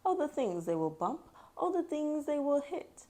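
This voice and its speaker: A woman's voice: high-pitched, big pitch swings, moderate pace, mellow. A woman hosting a children's book read-aloud, mixing storybook narration with casual commentary. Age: adult mother.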